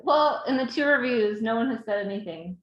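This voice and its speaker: A woman's voice: on the high side, lively intonation, fairly quick, roomy sound, dull audio. A woman author chatting casually in an interview, giving brief, friendly replies.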